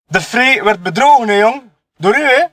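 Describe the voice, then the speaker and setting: An actor with a Flemish accent playing a sleazy, cocky photographer and dealer who taunts in colloquial, smug speech. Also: male voice, extremely high pitch, lively intonation, moderate pace, a bit bright, slight background noise. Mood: self-righteous, mocking. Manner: colloquial, taunting, tag questions, chuckling self-justification. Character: sleazy, cocky male photographer and drug dealer, smugly mocking. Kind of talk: audio murder-mystery game acting.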